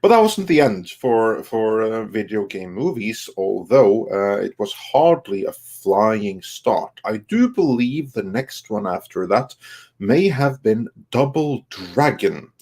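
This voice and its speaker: A man hosting a casual panel discussion in measured, wordy sentences. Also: lowish voice, animated, darkish tone. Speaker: host.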